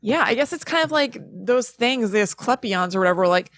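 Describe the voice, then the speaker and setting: A woman co-hosting a podcast in relaxed, informal conversation. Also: fairly high pitch, fairly quick, no echo. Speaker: podcast co-host. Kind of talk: casual conversational podcast chat.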